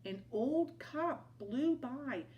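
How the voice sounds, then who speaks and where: Woman, toddler story reader, highish pitch, unhurried, expressive narration, faint background noise. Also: muffled recording. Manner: slow, animated read-aloud.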